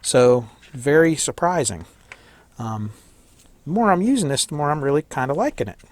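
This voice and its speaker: A man with a slightly hoarse voice giving a casual hands-on electronics tutorial, thinking aloud and correcting himself as he works. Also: on the high side, animated, normal speed. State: slightly hoarse, losing his voice. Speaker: electronics hobbyist demonstrating a kit.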